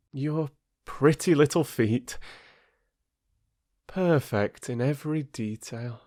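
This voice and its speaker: A man voicing a sly male fox who flatters a crow in smooth, wheedling tones, pitched a little above his own voice.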